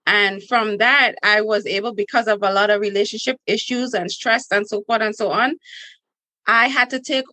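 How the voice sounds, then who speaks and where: Brash Trinidadian woman, bright voice. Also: medium pitch, fairly even intonation, moderate pace, no echo. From Trinidad and Tobago. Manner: brash, playful, boastful humor. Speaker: guest. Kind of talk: podcast interview.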